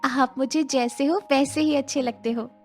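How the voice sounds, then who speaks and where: Woman acting a high-voiced young cartoon wife. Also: fairly even intonation, normal speed, a little mellow, faint music behind. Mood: gentle. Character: young wife and her girlfriend, chatty cartoon women. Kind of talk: Hindi animated comedy story voice acting.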